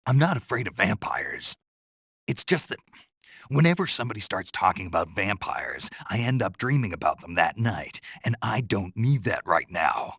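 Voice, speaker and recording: A man playing a gruff, raspy special forces soldier in a video game, stoic and dryly naive, with a terse, deadpan delivery. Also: on the low side, moderate pace, no echo, studio quality, heavily acted. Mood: defensive.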